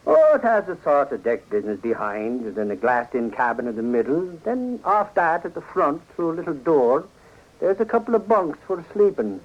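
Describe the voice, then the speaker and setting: An actor playing a hot-headed, boastful Irish reporter in 1940s radio style, blustery and folksy. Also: male voice, on the high side, sing-song, moderate pace. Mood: chatty, confident. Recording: dull, lo-fi 1940s radio recording.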